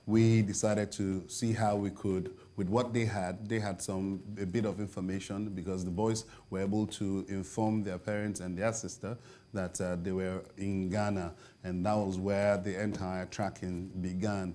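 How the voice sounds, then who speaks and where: Low dark-voiced guy, flat delivery, lots of uhs, faint hiss behind. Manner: halting, many fillers and restarts. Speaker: investigator reporting on a rescue. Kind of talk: remote interview update.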